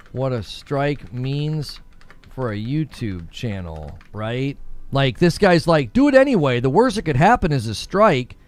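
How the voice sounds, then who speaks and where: A man with a slightly high voice talks casually as a livestream host, slangy and conversational. He moves between chatting and reading out viewer messages and shout-outs, repeating points for emphasis. The sound is close and dry, with light music behind him. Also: no room sound.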